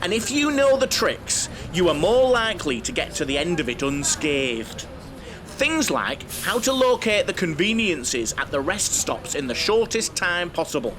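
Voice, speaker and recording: An actor playing a chatty, camp, fussy coach-trip enthusiast with a theatrical, over-familiar manner in a comic audio drama. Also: male voice, sits high, sing-song, a little slow, a crowd in the background. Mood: knowing, confiding.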